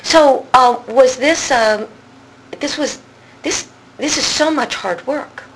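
A woman's voice: high voice, takes it slowly, breathy edge, low-quality recording. A woman interviewing a drama student, asking probing follow-up questions in an engaged, admiring way. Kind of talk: conversational interview.

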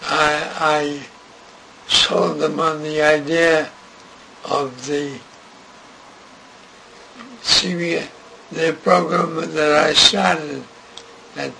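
An older man recalling his radio career in an interview, speaking haltingly and restarting often. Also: a little high, steady tone, gravelly. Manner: slow, halting, with many restarts. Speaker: interviewee, radio writer and director. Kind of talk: reminiscing interview. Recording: noisy recording.

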